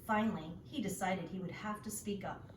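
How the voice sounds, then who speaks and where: A woman reads a children's story aloud in a deliberate, expressive teacher's style. Her voice sits at a middle pitch. It is recorded in an echoey room, a bit away from the mic, with faint noise. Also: far from the mic. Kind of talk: storybook narration.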